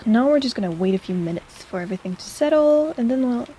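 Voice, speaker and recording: Casting: female actor as caring, teasing fantasy apothecary, higher-pitched voice, casual personal-attention roleplay delivery, some background ambience. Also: expressive, fast talker, mellow, a little hiss or hum, close to an everyday voice, rough recording. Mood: excited, amazed. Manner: chatty, teasing, casual, with sighs and murmurs. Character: snarky, caring young woman apothecary in a fantasy world.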